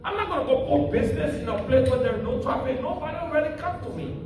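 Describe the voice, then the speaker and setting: A man's voice: very high voice, lively intonation, fast, a little mellow. A man, a preacher making a public comment at a community meeting, talking in an earnest, questioning way. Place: meeting hall. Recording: echoey hall, distant mic, noisy.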